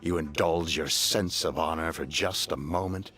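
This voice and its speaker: A man's voice: extremely low pitch, steady tone, normal speed, music in the background. An actor playing a cold, menacing, ruthless male villain in a video game, taunting and sardonic.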